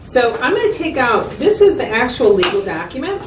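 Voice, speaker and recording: A woman's voice: on the high side, big pitch swings, a little fast. A woman playing a patient, methodical mental health facilitator who explains step by step and checks understanding in a dramatized consultation. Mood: patient, explanatory. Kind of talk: explanatory one-to-one consultation, role-played. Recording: roomy, distant mic, noisy room sound.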